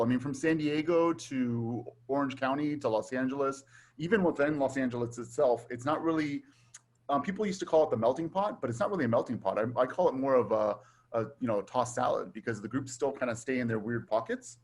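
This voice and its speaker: A middle-aged man in relaxed conversation, his voice sitting in a comfortable middle range. He talks loosely, circling back with fillers as he shares his thoughts. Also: mid-range voice, a little fast. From Taiwan, raised in Southern California. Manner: relaxed, chatty, frequent "um" and "you know". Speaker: guest. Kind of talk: casual conversation.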